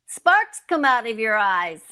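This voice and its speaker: Woman acting high, bright, playful sitcom housewife. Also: very animated, slow, clean tone, heavily acted. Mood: coaxing, affectionate. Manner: cute, playful, emphatic. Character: Liz, playful, meddling, affectionate young housewife. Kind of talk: re-created 1940s radio sitcom acting.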